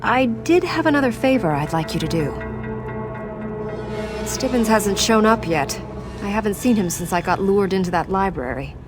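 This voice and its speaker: An actress playing a reserved, awkward adventurer in a video game, speaking hesitantly. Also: female voice, medium pitch, expressive, moderate pace, loud music behind the voice, close to an everyday voice.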